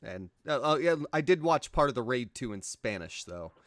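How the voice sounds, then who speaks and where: A man's voice: medium pitch, big pitch swings, normal speed. An American man co-hosting a casual film podcast, hesitant and restarting his sentences, laughing along. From United States. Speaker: podcast co-host.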